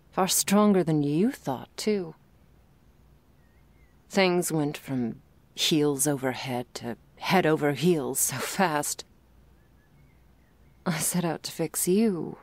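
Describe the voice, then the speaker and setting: A woman playing a confident, teasing but vulnerable girlfriend in an intimate ASMR romance roleplay, confiding tenderly and haltingly, often dropping into breathy whispers. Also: sits low, dry recording, exaggerated voice.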